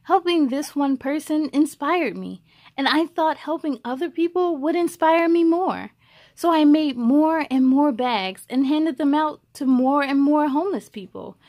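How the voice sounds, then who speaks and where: Casting: young adult woman, high voice, children's storyteller, expressive and encouraging read-aloud delivery. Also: measured pace.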